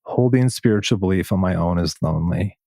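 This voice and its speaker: A man interviewing in a reflective, measured way, halting and pausing between short phrases. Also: low-pitched, vocal fry, mellow, husky, dry recording, clean studio sound. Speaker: podcast host, interviewer. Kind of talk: reflective interview conversation, some reading aloud.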